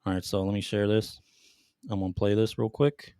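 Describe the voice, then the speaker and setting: A man's voice: lowish voice, fairly even intonation, fairly quick, mellow, smooth, no echo, clean studio sound. A man hosting a gaming podcast, chatting casually and emphatically with plenty of swearing. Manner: profane, emphatic fan talk. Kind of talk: casual chat, counting down favorites.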